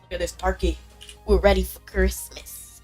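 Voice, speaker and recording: A woman's voice: mid-range voice, slightly rough. Bubbly young woman streamer, a bit sick. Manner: bubbly, chatty, quick bursts of excitement. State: sick, slightly congested. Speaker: game streamer. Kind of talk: casual live-stream chat with viewers. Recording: faint background game music.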